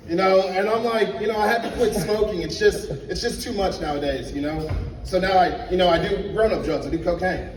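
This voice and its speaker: A young man from Liberia, high-pitched for a man, tells stand-up stories and acts out every voice in them. He sounds far from the microphone in a club with a long ring of reverb, and room noise sits clearly under him. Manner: animated storytelling, acting out dialogue. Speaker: stand-up comedian. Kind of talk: stand-up comedy set. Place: comedy club stage. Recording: echoey club sound from a distance. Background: audience laughter.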